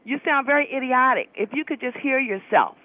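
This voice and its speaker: A woman's voice: high-pitched, a little fast, dry recording. A woman calling in to a radio show, arguing in a scolding, insistent way. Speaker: caller. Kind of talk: radio call-in argument. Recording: tinny narrow-band telephone line on radio.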